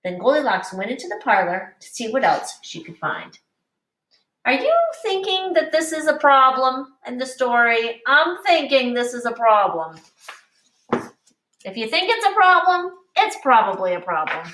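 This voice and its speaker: Expressive woman reading to children, very animated. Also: fairly high pitch, moderate pace. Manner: expressive, instructive, addressing children directly. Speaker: teacher reading aloud to young children. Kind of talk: picture-book read-aloud with teaching asides. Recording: roomy, echoing, distant microphone.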